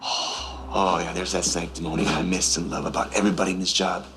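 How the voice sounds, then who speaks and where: An actor playing a cocky, corrupt cop with a menacing streak, taunting and swaggering in a screen drama. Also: male voice, deep voice, flat delivery, brisk, background noise, natural voice. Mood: defiant. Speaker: actor in a TV supernatural police drama. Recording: music under the dialogue.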